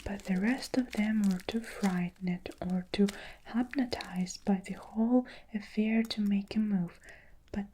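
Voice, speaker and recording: A woman reading a storybook aloud in a soft, unvoiced ASMR whisper. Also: medium pitch, a little slow, a little hiss or hum, rough recording.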